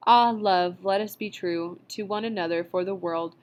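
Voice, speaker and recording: A woman voicing a shaken man who recites a poem slowly and solemnly. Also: mid-range voice, lightly acted.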